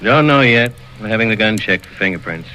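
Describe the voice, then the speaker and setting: An actor in an old radio drama playing a suspicious, businesslike police inspector with a clipped, probing manner. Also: male voice, fairly low pitch, expressive, brisk, natural voice. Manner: clipped, probing, matter-of-fact. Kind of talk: 1940s-50s radio drama acting. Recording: vintage radio recording with hiss.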